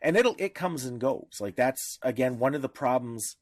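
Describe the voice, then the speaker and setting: A man's voice: mid-range voice, animated, moderate pace, no room sound. A man with a mid-range voice talks casually as a podcast co-host. His delivery is blunt and irreverent. The sound is dry, with hardly any room in it. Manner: blunt, irreverent, freely profane.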